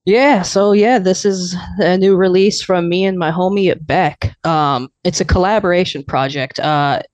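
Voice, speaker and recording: A man in his twenties from Arizona, a guest producer and emcee with a fairly high voice, chatting casually and gratefully on a podcast. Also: a little slow, no echo. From Arizona, USA. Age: 20s. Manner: laid-back, rambling, grateful.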